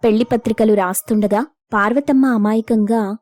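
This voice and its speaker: A woman's voice: a little high, steady tone, normal speed, a little mellow, no echo, clean studio sound. A woman reading scripted narration for a moral story as its narrator.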